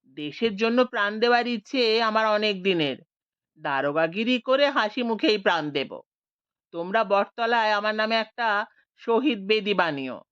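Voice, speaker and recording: A woman voicing a boastful retired male police inspector who talks in a booming, swaggering way, in a storybook reading. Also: expressive, normal speed, fairly bright tone, clean tone, natural voice. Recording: dull lo-fi dry recording.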